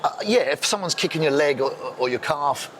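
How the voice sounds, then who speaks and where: A man's voice: on the high side, lively intonation, a little fast, fairly bright tone. A man hosting a fight interview show, jokey and chatty as he asks questions. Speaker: interviewer host. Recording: noisy background.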